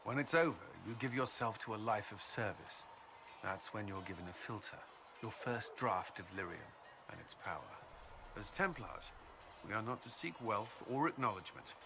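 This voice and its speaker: A man's voice: fairly low pitch, animated, background noise. An actor playing an earnest, dutiful military commander in a fantasy video game, a little hesitant in his speech. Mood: reflective, sincere. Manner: hesitant, halting when flustered.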